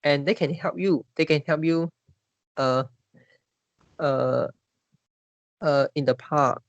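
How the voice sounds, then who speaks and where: A man's voice: a little high, slow, creaky, dry recording, muffled recording. A man with a Malaysian English accent, leading a casual discussion and stumbling through many restarts and fillers. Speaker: discussion leader.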